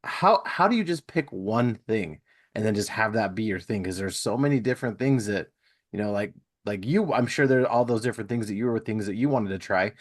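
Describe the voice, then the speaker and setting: A man's voice: lowish voice, animated, brisk, dry recording, clean studio sound. A man hosting a podcast, talking in a chatty, informal interview style. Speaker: podcast host. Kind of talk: casual conversational interview.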